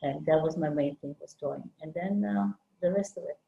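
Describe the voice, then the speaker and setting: Low-voiced older woman, soft and halting. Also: creaky, echoey room, narrow, tinny audio. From Lithuania. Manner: halting, searching for words. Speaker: guest artist. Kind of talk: conversational interview. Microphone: video call.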